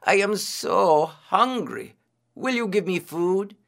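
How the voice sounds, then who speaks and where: A man voicing a storybook god disguised as a hungry priest, drawn-out and declamatory. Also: mid-range voice, expressive, gravelly, sharp tone, exaggerated voice. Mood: pleading, calling.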